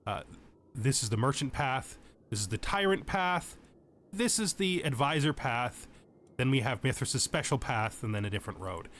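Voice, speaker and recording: A man's voice: medium pitch, animated, moderate pace, fairly bright tone, slight background noise. A man giving a tutorial-style gaming commentary, moving between reading out game text and explaining it informally. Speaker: host of a gaming channel.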